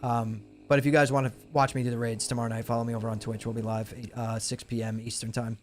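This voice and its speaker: A man's voice: mid-range voice, level tone, fast talker, a little hiss or hum. A man in young adulthood, chatting casually with his live stream viewers as he loses his voice. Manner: laid-back, chatty, talks to chat. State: losing his voice.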